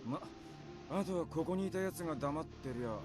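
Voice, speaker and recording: A man's voice: fairly high pitch, expressive, rapid-fire, close to an everyday voice. A man playing a stern, irritable samurai commander in a visual novel game, curt and authoritative. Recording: game audio with music behind. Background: game music.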